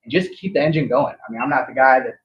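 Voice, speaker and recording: A young man in his twenties, a chiropractor, giving a relaxed, friendly talk to an event audience. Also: fast talker. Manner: relaxed, friendly, informal pitch. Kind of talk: casual speech to an audience. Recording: distant, dull event audio.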